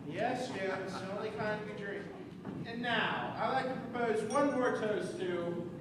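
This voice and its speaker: A man's voice: high-pitched, unhurried. Man acting polite host, very echoey. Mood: uneasy. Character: polite, uneasy male host of a dinner. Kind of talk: amateur stage comedy acting. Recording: very echoey stage, distant mic, noisy.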